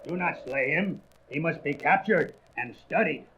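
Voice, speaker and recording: An actor playing a cold, imperious alien invader commander with open contempt, in a comic book audio drama. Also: male voice, on the high side, lively intonation, normal speed, sharp tone. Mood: wary, commanding. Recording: noisy, dull old record with clicks.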